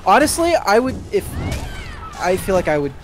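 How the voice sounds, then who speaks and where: Lively higher-voiced man chatting over a game. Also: moderate pace, over music. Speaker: stream co-host. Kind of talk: casual chat over gameplay.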